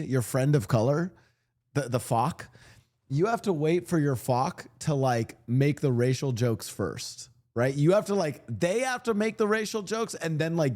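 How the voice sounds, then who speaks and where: A man's voice: mid-range voice, a little mellow. A man streaming live, talking casually to his chat in a rambling, joking way with many restarts. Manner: rambling, laughing, joking asides. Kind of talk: casual livestream commentary.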